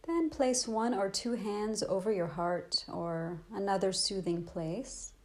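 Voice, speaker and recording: A woman leads a guided meditation as a teacher would, with a soothing delivery at a medium pitch. She speaks gently and takes her time, leaving long pauses between short instructions. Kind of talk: guided body scan meditation.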